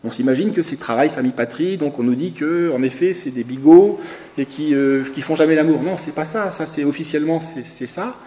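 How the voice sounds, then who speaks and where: A man's voice: on the high side, brisk, dark tone, slight background noise. A French man speaking at a conference, lecturing loosely in answer to a question, with many "hein"s and repeated words. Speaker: conference speaker, magazine editor. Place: conference hall. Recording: echoey hall.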